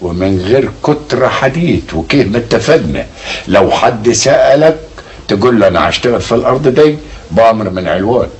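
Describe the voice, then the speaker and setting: An actor playing a stubborn, defiant landowner who speaks in a curt, scornful way. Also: male voice, mid-range voice, lively intonation, fairly quick, a little hiss or hum, lightly acted. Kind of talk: TV drama acting.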